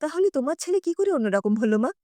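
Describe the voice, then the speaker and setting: A woman's voice: sits high, sing-song, fast, mellow, dry recording, studio quality. A woman voicing an earnest, outspoken young daughter-in-law with an insistent manner in an audio story. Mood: firm, challenging. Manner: insistent, questioning.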